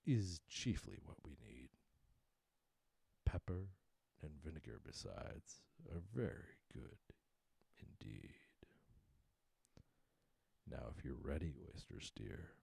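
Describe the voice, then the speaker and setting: A man's voice: rumbling low, very animated, slow, vocal fry, dark tone, breathy edge, no echo, heavily acted. A man voicing a genteel, falsely kind walrus in a storybook poem, coaxing and pompous. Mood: genteel, hungry. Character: smooth-talking male walrus, genteel, falsely kind, hungry.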